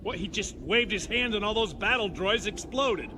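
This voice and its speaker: An actor playing a brash, wisecracking space smuggler pilot in a sci-fi audio drama. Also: male voice, high voice, moderate pace, noisy background, natural voice. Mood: amazed.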